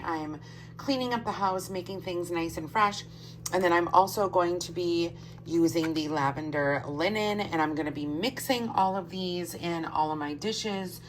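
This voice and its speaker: A woman chatting casually to her camera as a home vlogger, a bit stuffy-nosed, rambling along with soft asides. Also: on the low side, a little hiss or hum, rough recording. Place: kitchen at home, indoors.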